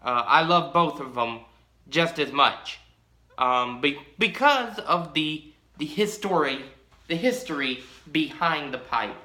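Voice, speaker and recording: A young man talks slowly and loosely to a fixed camera in a small home room, trailing off into ums and restarts. His voice is bright and forward. The room gives it a noticeable echo. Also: a little high, animated. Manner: rambling, drawn-out, many ums. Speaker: pipe-collecting vlogger. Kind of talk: casual show-and-tell.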